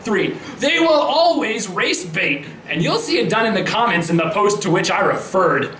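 A man's voice: high-pitched, animated, moderate pace, fairly bright tone. A man performing a dramatic reading as an indignant, folksy, self-righteous conservative politician, with theatrical and emphatic delivery. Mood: indignant, mocking. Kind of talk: dramatic reading of social media posts. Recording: roomy echo, faint background noise.

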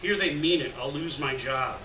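A man's voice: on the high side, normal speed, sharp tone. A man voicing a wary, rule-bound fire lookout who protests guardedly, in a story read aloud. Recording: roomy, distant mic, noisy venue.

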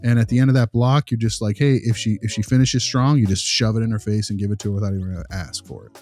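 A man playing a patient behavior consultant who gives feedback in a halting, rambling, reassuring, conversational way. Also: lowish voice, darkish tone, soft background music. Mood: encouraging. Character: male behavior consultant, patient, diplomatic, encouraging. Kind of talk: conversational role-play of a consultation.

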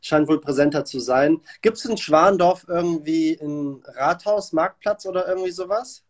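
A man's voice: fairly high pitch, moderate pace. A man in his thirties hosting a casual, informal interview from a room at home. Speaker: host of a livestream interview show. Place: indoors, small room at home.

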